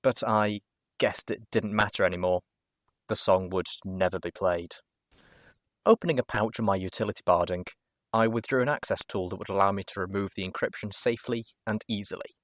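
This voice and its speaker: A man reading a first-person story as narrator, expressively and with dramatic pauses. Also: fairly low pitch, fairly bright tone, no echo, clean studio sound. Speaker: narrator, show host.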